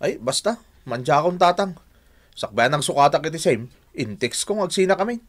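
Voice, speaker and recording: An actor playing a headstrong, easily smitten young bachelor in a radio drama, speaking with a Filipino accent. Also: male voice, fairly high pitch, lively intonation, moderate pace. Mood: stubborn, fed up. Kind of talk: Ilocano radio drama acting.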